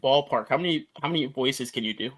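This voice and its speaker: A man's voice: medium pitch, quick pace, a bit bright. A man hosting a podcast, joking and teasing his guest in casual chat. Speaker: podcast host.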